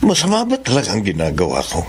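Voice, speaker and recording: Stubborn, money-minded Filipino patriarch, middle-aged, highly varied delivery for radio drama. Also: male voice, wildly swooping pitch, quick pace, slight background noise. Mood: hurt, brooding. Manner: emphatic, argumentative.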